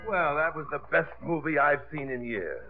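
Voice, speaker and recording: An actor playing a suave, confident suitor, breezy, teasing and assured, in a 1950s radio drama. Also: male voice, on the high side, animated, normal speed, faint music behind. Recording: narrow, tinny 1950s radio broadcast.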